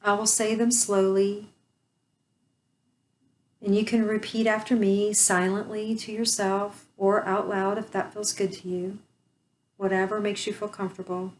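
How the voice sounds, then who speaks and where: A woman leading a guided meditation, speaking softly and soothingly with long pauses. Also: mid-range voice, flat delivery, slight background noise, poor audio.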